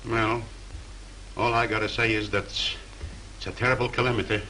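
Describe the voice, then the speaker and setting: A man's voice: lowish voice, little pitch movement, moderate pace, sharp tone, poor audio. An actor playing a blustering male movie producer who talks in ungrammatical phrases, in 1930s film style, with faint hiss from an old soundtrack. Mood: fretful.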